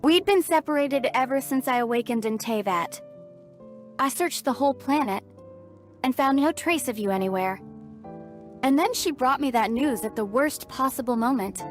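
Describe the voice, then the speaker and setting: Lady voicing a guilt-burdened young princess for a game, highish voice, formal and resolute, music underneath. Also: natural voice. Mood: sorrowful, resolute. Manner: earnest, formal storytelling delivery. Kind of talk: dramatic video game dialogue. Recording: studio voice over background music.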